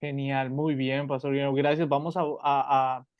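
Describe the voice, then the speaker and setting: A man's voice: mid-range voice, level tone, normal speed, dry recording, dull audio. A male radio host who interviews a guest pastor with friendly affirmations and long, winding questions. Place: radio studio.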